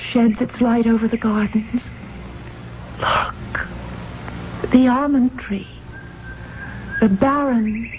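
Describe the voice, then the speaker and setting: An actress playing an earnest, awestruck young daughter in a 1970s radio drama, speaking in a hushed, dramatic way with deliberate pauses. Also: female voice, a little high. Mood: hushed wonder. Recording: muffled, hissy 1970s radio recording.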